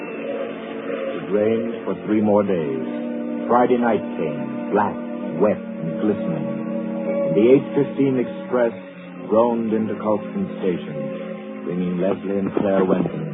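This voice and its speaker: A man's voice: on the low side, expressive, slow pace. A man narrating a 1940s radio drama in a grave, dramatic way. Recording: lo-fi 1940s radio broadcast over loud music.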